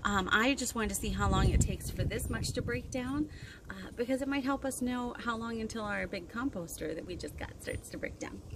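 A woman's voice: on the high side, moderate pace. A woman in her thirties hosting a children's storytime outdoors, talking casually and encouragingly to young kids as she explains activities. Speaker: children's librarian and storytime host. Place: outdoors on a backyard deck. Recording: outdoor recording with wind and ambient noise.

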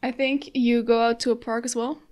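Young woman, slightly high voice, relaxed, hesitant, casual chat. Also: brisk. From Netherlands.

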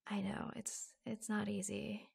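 A woman acts out a reassuring, affectionate secretary in an ASMR roleplay. Her voice is soft and breathy, often falling to a whisper, with a crackle of vocal fry. She takes her time, hesitating gently between short phrases. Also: mid-range voice, exaggerated voice.

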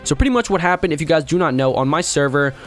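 A young man with a hoarse voice from a sore throat, chatting excitably through casual gameplay commentary. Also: fairly high pitch, fast talker, faint music behind. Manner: chatty, excitable, talks to opponents. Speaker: gaming YouTuber.

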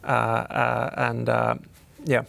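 A man's voice: medium pitch, completely flat delivery, slow pace, vocal fry, fairly bright tone. A man in his thirties, a company CEO, answering panel questions in a hesitant way with many restarts and fillers. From Finland.

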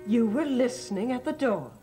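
Genteel probing lady, played by a woman: highish, very expressive pitch, theatrical poise, vintage soap soundtrack. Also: moderate pace, natural voice. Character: poised, probing actress guest with sympathetic veneer. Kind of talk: 1960s TV soap opera acting. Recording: vintage TV soundtrack, music clearly behind.

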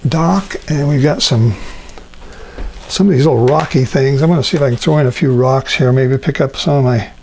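An older man demonstrating a watercolor painting as an instructor, talking himself through each step with frequent ums and pauses. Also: medium pitch, vocal fry, a little hiss or hum. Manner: relaxed, thinking aloud with many ums. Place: art studio at a painting table.

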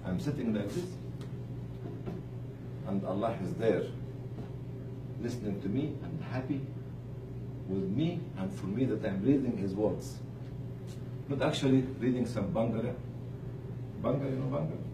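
Animated man speaking in an echoey room. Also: fairly low pitch. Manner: emphatic, pausing, rhetorical questions to listeners. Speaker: motivational speaker addressing charity staff. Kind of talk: informal religious motivational talk. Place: room with live audience. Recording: distant mic, echoey room, clear noise.

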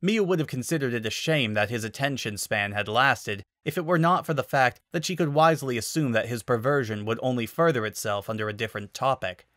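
Male narrator for dramatic fiction reading, mid-pitched, very dry recording. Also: brisk, hardly any pauses, a bit bright, dry recording.

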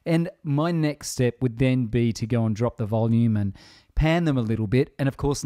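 A man giving a software tutorial voice-over in a casual, conversational way. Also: mid-range voice, dry recording, studio quality. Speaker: tutorial presenter.

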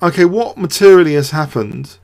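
A man with a somewhat high-pitched voice hosts an analytical commentary. He works things out aloud without a script, hesitating and repeating words as he goes. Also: lively intonation, fairly quick, vocal fry, a little mellow. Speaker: host of a chess show. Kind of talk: off-the-cuff game commentary and analysis.

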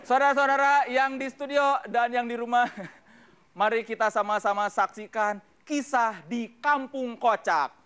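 A man's voice: very high-pitched, fairly even intonation, measured pace. A man in his thirties hosting a stand-up comedy show, teasing the audience in a live TV studio. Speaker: stand-up comedy host playing a neighborhood chief. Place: large TV studio stage with audience. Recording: TV studio with loud crowd laughter and noise.

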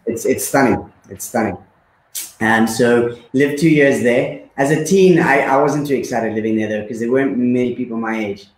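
Man from Zimbabwe, thirties, relaxed talk with fillers, roomy sound. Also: medium pitch, moderate pace, a little hiss or hum. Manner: relaxed, with many fillers and repeats. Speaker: guest. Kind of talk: casual conversation.

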